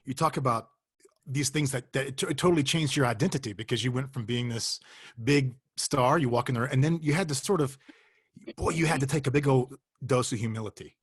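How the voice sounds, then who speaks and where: A man talking as a guest in a conversation, heartfelt and animated, with exclamations. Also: medium pitch, brisk, husky. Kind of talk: casual conversation.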